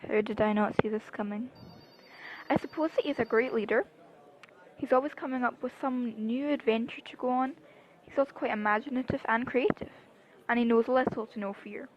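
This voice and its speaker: Woman playing a wry lively young wife on the phone, really high voice, muffled lofi audio drama. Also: fairly quick, lightly acted. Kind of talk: fan audio drama acting. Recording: dull lo-fi phone-call sound.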